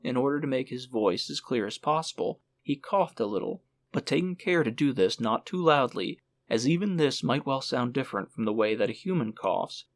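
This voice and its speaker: A man narrating a literary audiobook in a measured storytelling manner. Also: medium pitch.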